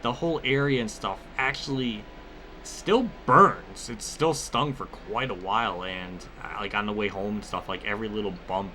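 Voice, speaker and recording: A man's voice: on the low side, moderate pace, pushed and bright, a little hiss or hum. A man giving casual, rambling gameplay commentary mixed with personal storytelling, conversational and free with swearing. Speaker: gaming video creator.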